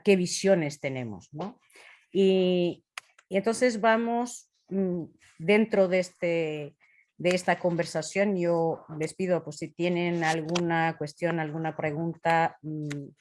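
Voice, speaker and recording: A woman in her fifties with a Portuguese accent, speaking a second language haltingly as she leads an informal presentation, searching for words. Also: lowish voice, darkish tone. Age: 50s. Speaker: presenter leading a talk on impact measurement.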